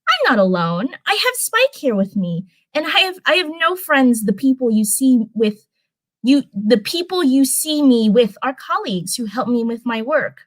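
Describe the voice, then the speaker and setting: A woman acts out a bookish young unicorn girl from a storybook, earnest and blunt. The pitch sits up high and moves in lively, emphatic jumps. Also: normal speed, no echo. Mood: defensive. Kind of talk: storybook character reading.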